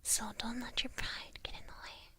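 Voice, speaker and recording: A woman voicing a giggly, playful, devilish witch in a breathy, teasing ASMR roleplay whisper. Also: moderate pace, sharp tone. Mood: coaxing, fond.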